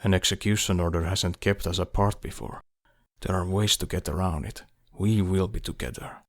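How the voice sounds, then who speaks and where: A man playing a devoted, determined lover in audiobook dialogue, speaking in a hushed, earnest, measured way. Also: sits low, steady tone, no echo, studio quality. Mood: intimate, reassuring.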